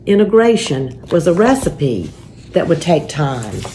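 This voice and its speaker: A woman hosting a story time and reading to families in a measured, deliberate, expressive way. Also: low voice. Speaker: church story time host and reader. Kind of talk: children's picture book read-aloud with spoken introduction. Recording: faint background noise.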